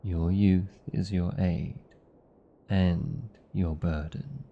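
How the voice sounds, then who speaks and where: Man playing a deep, gravelly British vampire lover. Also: steady tone, highs cut off, exaggerated voice. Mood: vulnerable, pleading. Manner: measured, formal, earnest with deliberate pauses. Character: protective, anxious old vampire lover, tender yet arrogant. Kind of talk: romantic ASMR roleplay acting.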